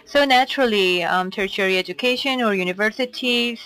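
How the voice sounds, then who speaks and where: A woman interpreting a conference talk into English, steady and formal, with frequent fillers. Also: mid-range voice, brisk, rough recording.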